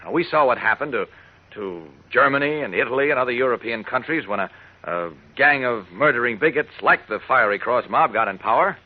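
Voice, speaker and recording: A male actor plays an earnest, resolute man in an old radio drama. His persuasive delivery swings widely in pitch, with a slightly husky edge. The recording is muffled and dated. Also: expressive, fairly quick, natural voice. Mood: earnest, urgent. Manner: persuasive, emphatic. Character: earnest, resolute man urging a frightened family to stand firm. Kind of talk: 1940s radio drama acting.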